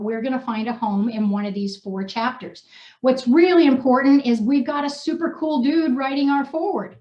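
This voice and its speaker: A woman's voice: on the high side, a little mellow. A woman from New Hampshire hosting, chatty and informal, with casual slangy phrasing. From New Hampshire, United States. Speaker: host and co-author. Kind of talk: casual unscripted group chat, reading aloud from a personal story. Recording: dull, echoey room sound.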